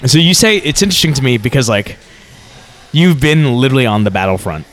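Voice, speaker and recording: A man whose first language is Vietnamese co-hosts a relaxed podcast interview. He talks at a medium pitch, circling his point with stammered restarts and fillers. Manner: rambling, halting restarts and fillers. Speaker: podcast co-host. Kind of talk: casual interview chat.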